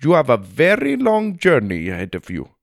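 Man voicing a cheerful, emphatic elephant rider, pitched up. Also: huge pitch swings, normal speed, creaky, no room sound, clean studio sound, heavily acted. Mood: delighted. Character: cheerful proud Indian man, elephant rider, brisk scolding. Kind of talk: children's audiobook character voice.

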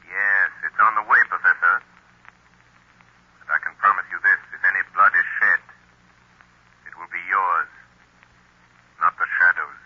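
An actor playing a mysterious, menacing avenger who taunts a villain with slow, ominous delivery in 1930s radio style. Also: male voice, low voice, steady tone, breathy edge. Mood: menacing, taunting. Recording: dull, muffled 1930s radio recording.

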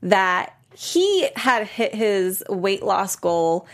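A woman's voice: medium pitch, sing-song, drawn-out words, fairly bright tone. A woman from Boston with an American accent, chatting casually and telling stories with lots of "you know" and "like". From Boston, United States. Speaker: fitness trainer and TV show participant. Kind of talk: casual chatty show recap.

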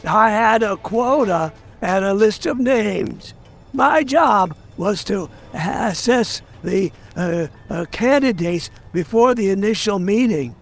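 Ancient, thick-skinned salesman; high gravelly voice, weary and guarded, audio drama. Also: animated, slow pace, full character voice. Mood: defensive. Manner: weary, defensive. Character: jaded, centuries-old salesman, thick-skinned and guilty.

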